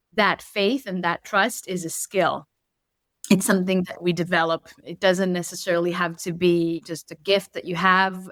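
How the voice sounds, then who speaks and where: A woman from Israel, a native Hebrew speaker with an Israeli accent, speaking earnestly as a coach in an interview, slowing to stress key points. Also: fairly low pitch, fairly bright tone, no room sound. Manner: earnest, measured, slows for emphasis. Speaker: coach, podcast guest. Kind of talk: reflective interview talk. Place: home in Berlin.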